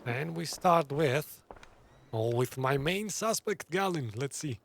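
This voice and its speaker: Animated male gamer commenting casually. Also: fairly high pitch, slow pace, gravelly, a little hiss or hum. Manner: reactive, thinking aloud. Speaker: gaming streamer.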